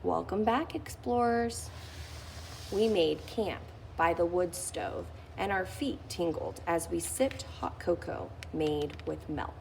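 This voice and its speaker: A woman's voice: fairly low pitch, slow pace, vocal fry, darkish tone, slight background noise, poor audio. A woman reading a children's picture book aloud, lifting her voice expressively on key phrases. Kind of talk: read-aloud storytelling.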